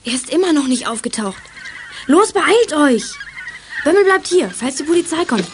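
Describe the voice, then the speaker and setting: A woman's voice: high-pitched, sing-song, normal speed, noisy background. A woman playing a brave, assertive young girl detective in a children's radio play. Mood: urgent, insistent. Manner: assertive, urgent.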